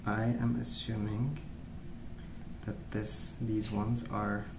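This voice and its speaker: Roomy echo, audible background noise, soft-spoken man, creaky voice, hushed ASMR musing. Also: lowish voice, level tone, takes it slowly. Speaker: ASMR video host. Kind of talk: soft-spoken ASMR rambling while colouring.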